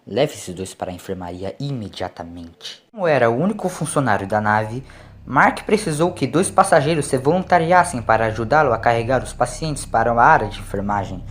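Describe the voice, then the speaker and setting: A man reads a dramatic scripted story as an audiobook narrator. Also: a little high. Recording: clear background noise, ambient sound.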